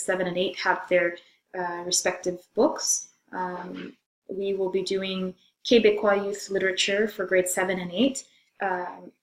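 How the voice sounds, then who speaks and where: A middle-aged woman from Zambia, a French teacher, informally presenting her course and often pausing mid-sentence. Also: fairly low pitch. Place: room in a house, indoors. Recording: echoey room sound.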